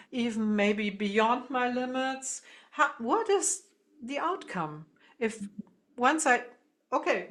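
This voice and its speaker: A woman musician being interviewed, explaining her ideas reflectively and haltingly as she searches for words. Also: a little high, a bit bright.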